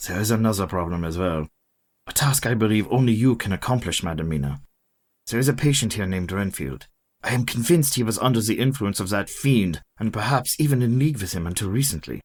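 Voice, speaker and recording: An actor playing a solemn, authoritative older professor and vampire hunter in a radio play, speaking formally and deliberately. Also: male voice, fairly low pitch.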